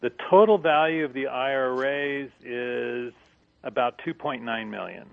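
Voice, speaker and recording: Gravelly retired man on a tinny phone line. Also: mid-range voice. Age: 60s. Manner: halting, with fillers. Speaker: caller. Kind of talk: phone-in question.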